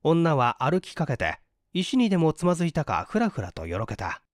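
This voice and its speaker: A man's voice: fairly high pitch, wildly swooping pitch, moderate pace, no room sound, clean studio sound. A man reading literary fiction as a scripted story narrator.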